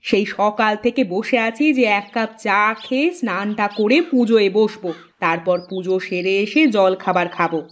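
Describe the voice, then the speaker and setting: A woman's voice: medium pitch, normal speed, hardly any pauses, close to an everyday voice. A woman playing a grumbling, self-pitying older mother-in-law in a cartoon, with a scolding, sarcastic, complaining manner. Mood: plaintive. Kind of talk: cartoon voice acting, fairy-tale drama.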